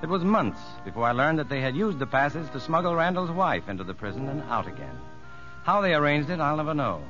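Earnest prison psychologist, expressive delivery. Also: male voice, on the high side. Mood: rueful, narrating. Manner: measured, educated, gently self-deprecating. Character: earnest, rueful prison psychologist narrating his story. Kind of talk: 1950s radio drama acting and narration. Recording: muffled old radio broadcast with music.

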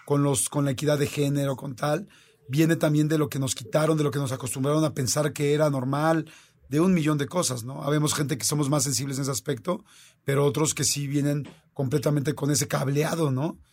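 A man's voice: fairly high pitch, fairly even intonation, fairly quick, darkish tone. A man with a Mexican Spanish accent, hosting a conversation and talking earnestly and animatedly. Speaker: host. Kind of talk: casual conversation.

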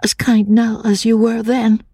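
Man voicing a breathy, frightened young woman, pitched high. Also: completely flat delivery, unhurried, mellow, no echo, clean studio sound. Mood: timid gratitude. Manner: hesitant, breathy murmur. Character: frightened, forlorn young woman, timid and murmuring. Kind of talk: audiobook character acting.

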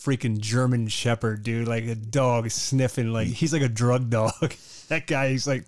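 A man's voice: medium pitch, fairly even intonation, unhurried, no room sound, clean studio sound. A man co-hosting a casual movie podcast, laid-back and chatty, peppering his talk with "man" and "dude". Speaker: podcast co-host.

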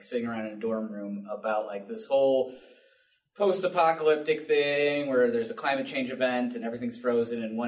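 A man speaking casually as a writer on a live panel. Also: mid-range voice. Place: public library event room. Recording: echoey room, distant mic.

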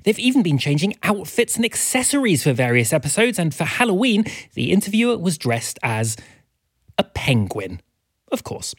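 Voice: medium pitch, very animated, moderate pace, breathy edge, dry recording, clean studio sound. A podcast host giving scripted announcements in a friendly style to listeners.

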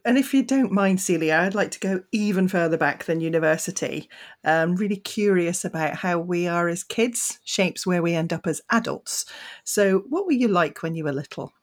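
A woman's voice: fairly low pitch, normal speed. A woman hosting an interview, asking encouraging questions. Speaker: podcast host. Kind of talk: conversational interview.

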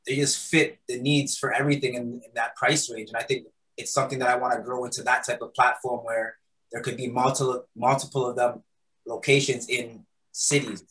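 A man's voice: medium pitch, flat delivery, a little fast, fairly bright tone, low-quality recording. A man answering interview questions in a loose, conversational way, filling his answers with "um" and "right?". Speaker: guest, patty shop founder. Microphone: recording studio mic.

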